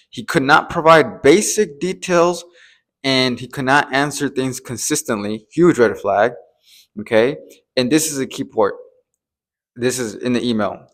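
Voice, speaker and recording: A man with a mid-pitched voice speaks as an advisor to business owners. His delivery is explanatory and emphatic. He hammers key warnings by repeating them and keeps checking that the listener follows. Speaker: treasury advisor, presenter.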